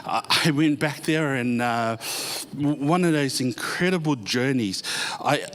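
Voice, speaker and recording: A man, a pastor introducing himself to a church audience in a halting, heartfelt way with many pauses and restarts. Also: medium pitch, lively intonation. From born in Samoa, grew up in New Zealand.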